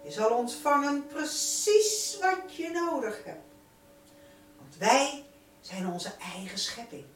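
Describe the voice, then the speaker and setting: Slow, high-voiced woman in solemn incantation. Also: big pitch swings. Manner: solemn, incantatory, drawn-out phrasing with repetitions. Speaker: spiritual teacher leading a light-circle meditation. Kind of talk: spiritual guided meditation talk. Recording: roomy, distant microphone, noisy background.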